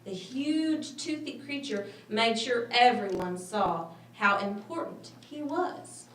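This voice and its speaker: A woman with a fairly high voice reads a children's story aloud. Her phrasing is expressive and dramatic. The room echoes and she sits a little back from the mic, with faint noise. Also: slow, recorded from a distance. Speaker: storybook reader.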